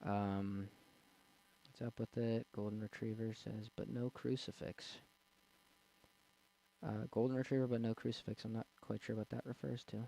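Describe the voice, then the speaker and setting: A man in his twenties with a young, slightly squeaky voice, casually rambling and answering chat on a livestream. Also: flat delivery, normal speed, creaky, pauses often, dark tone, rough recording. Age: 20s. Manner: rambling, with fillers and restarts. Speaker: streamer reading chat. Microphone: desk mic.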